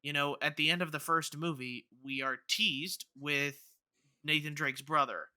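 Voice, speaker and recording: A man's voice: on the high side, measured pace, pushed and bright, clean tone. A man co-hosting a podcast in casual discussion, earnestly rambling as he thinks aloud. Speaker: podcast co-host.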